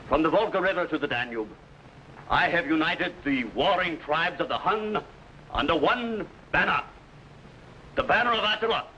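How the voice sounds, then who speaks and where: An actor playing a ruthless, boastful barbarian warlord in a 1950s TV serial, speaking with grand, menacing pauses. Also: male voice, fairly high pitch, expressive, bright, forceful tone, slight background noise, rough recording.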